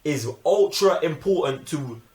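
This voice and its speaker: Emphatic, slangy London man, higher-pitched voice. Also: animated, a little slow, darkish tone. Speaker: film review show host. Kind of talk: casual, opinionated group film discussion. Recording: roomy, echoing room sound.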